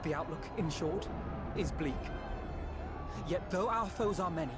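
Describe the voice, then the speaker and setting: An earnest, articulate young leader played by a man speaks in a high male voice with formal, measured phrasing. It is dramatic video game acting, set against loud music. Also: lightly acted. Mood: grave, resolute. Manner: formal, measured, eloquent speech.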